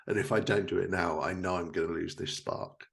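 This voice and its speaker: A man's voice: low-pitched, steady tone, fairly quick, vocal fry. A man hosting a casual podcast conversation about his work, speaking as a writer. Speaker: podcast host and fiction writer.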